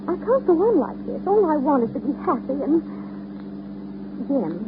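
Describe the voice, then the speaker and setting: An actress playing a spoiled, restless divorced socialite in a 1930s radio drama, theatrical and cajoling, with snippy endearments. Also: female voice, sits high, big pitch swings, normal speed. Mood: distraught. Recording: noisy, tinny 1930s radio broadcast.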